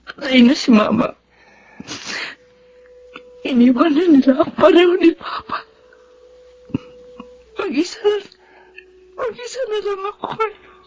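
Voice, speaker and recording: A woman's voice: extremely high pitch, sing-song, slow pace, pauses often, a little mellow, lo-fi sound, heavily acted. A woman acting in a radio drama as a lonely, fragile daughter who is grieving and tearful. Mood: broken, tearful. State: crying, tearful. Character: grieving lonely daughter mourning her mother, weary and fragile.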